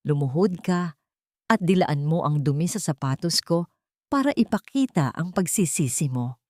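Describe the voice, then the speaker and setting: A cruel, wealthy woman boss from an audio story, acted out by a woman narrator. She speaks with cold contempt and gives orders as if nobody may refuse. The voice sits a little low and is closely, dryly recorded. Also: expressive, moderate pace, darkish tone, no echo, studio quality. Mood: cold threatening. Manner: contemptuous, commanding. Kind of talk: dramatic Tagalog audio story dialogue.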